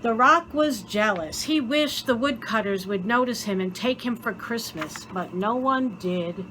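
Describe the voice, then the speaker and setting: A woman reading a children's story aloud in an expressive, theatrical way, with deliberate dramatic pauses. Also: fairly high pitch, a little hiss or hum, poor audio. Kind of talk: expressive scripted story narration.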